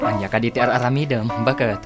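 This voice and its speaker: An actor playing a supportive, generous, community-minded husband in an Ilocano radio drama, speaking expressively. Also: male voice, medium pitch, fast, loud music behind the voice, close to an everyday voice. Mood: curious.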